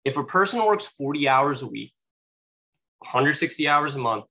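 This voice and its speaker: A man's voice: a little high, animated, normal speed, pushed and bright. A young man gives a motivational speech at a sales meeting, talking casually with many fillers and sounding a little sniffly from allergies. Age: young adult. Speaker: guest speaker at a sales meeting. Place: meeting room. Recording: dull lo-fi recording.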